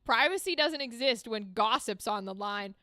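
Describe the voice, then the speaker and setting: A woman reading the lines of a dry, wry young woman in the passenger seat, in a calm, lower voice. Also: normal speed, no echo.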